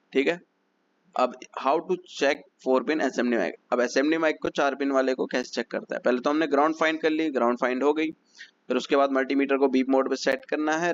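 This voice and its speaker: A man's voice: mid-range voice, lo-fi sound, slight background noise. A man teaching phone repair step by step, methodical and repetitive as he explains each check. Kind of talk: step-by-step technical lesson.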